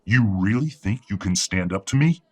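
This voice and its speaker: A man's voice: fairly low pitch, expressive, normal speed, a little mellow. A man playing a proud, domineering older werewolf father in an audio drama, condescending and menacing.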